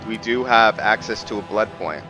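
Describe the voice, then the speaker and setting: A man with a low voice hosts a gaming video over clear background music. He talks casually through his roleplay, rambling and thinking aloud as he addresses his viewers. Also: moderate pace, highs cut off. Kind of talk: casual roleplay game commentary.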